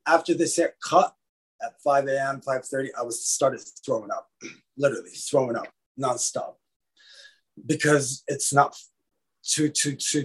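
A man from Lebanon, an actor guest, talking in a casual, animated way, often repeating his words. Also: medium pitch, breathy edge, rough recording. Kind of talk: casual interview chat.

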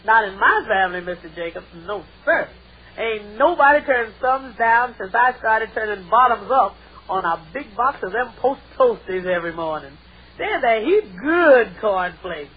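A muffled old radio recording of a wisecracking housemaid, played by an actress. She talks in a folksy drawl and is quick with a quip, in broad mid-century sitcom style. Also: a little high, lively intonation, close to an everyday voice. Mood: cheerful selling. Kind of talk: 1940s-50s radio sitcom acting. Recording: dull, lo-fi vintage radio recording.

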